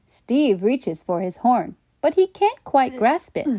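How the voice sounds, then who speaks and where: A woman reading a storybook aloud for children in an expressive way, with playful asides. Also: sits high, takes it slowly, no room sound, clean studio sound. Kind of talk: animated storybook read-aloud.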